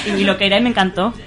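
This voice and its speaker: Informal Spanish woman, mid-pitched voice. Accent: Spanish from Spain. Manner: informal, salty-tongued. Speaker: interview guest, roller derby player. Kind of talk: casual chat.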